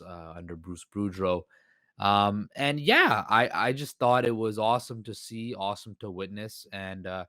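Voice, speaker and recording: Male podcast host, hoarse, low-pitched, dry close recording, loose rambling delivery. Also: a bit bright, no room sound. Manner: rambling, many fillers and restarts. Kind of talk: solo sports podcast commentary.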